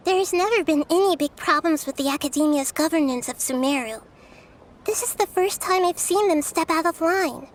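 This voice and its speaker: A woman voicing a small, gentle, wise young girl deity in a video game, speaking in measured, thoughtful, formal phrases. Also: high-pitched, a little hiss or hum, close to an everyday voice. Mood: thoughtful, serious. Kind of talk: scripted video game character dialogue.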